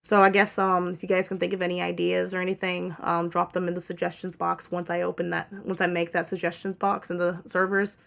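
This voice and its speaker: Young woman, tired, dry-throated, a bit low and gravelly, rambles with lots of ums and restarts in a casual update. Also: flat delivery, fairly quick, few pauses, fairly bright tone. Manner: rambling with many fillers and self-corrections. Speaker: channel owner giving an update.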